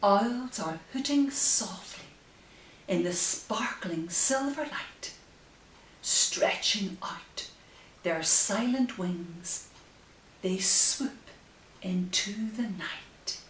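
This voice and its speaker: A woman reading picture books aloud to young children, gentle and expressive, with drawn-out phrases and hushed asides. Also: mid-range voice. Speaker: story time host. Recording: roomy, distant mic with faint hiss.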